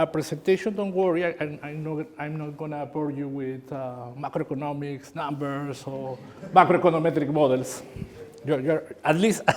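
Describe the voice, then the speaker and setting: Peruvian man lecturing in a hall. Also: a little high. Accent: Peruvian Spanish. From Peru. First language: Spanish. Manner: halting, repeats words, friendly asides. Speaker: guest lecturer. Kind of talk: university lecture. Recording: hall reverb.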